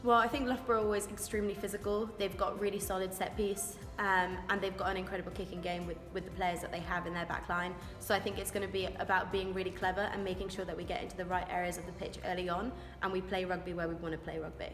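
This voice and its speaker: A woman's voice: on the low side, little pitch movement, quick pace, hardly any pauses, fairly bright tone. A rugby player in her twenties from Wales, answering interview questions in a chatty, eager, informal way. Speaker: rugby scrum-half. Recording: echoey room with long reverb, music behind.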